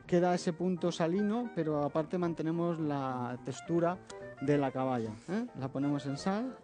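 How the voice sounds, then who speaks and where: A man with a slight Catalan accent demonstrating a recipe as a guest chef in a television kitchen. He explains each step patiently and often checks in with a quick "eh?". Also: on the high side, dark tone. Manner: explains step by step, tags phrases with "eh?". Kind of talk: cooking demonstration. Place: TV studio kitchen. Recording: faint background music.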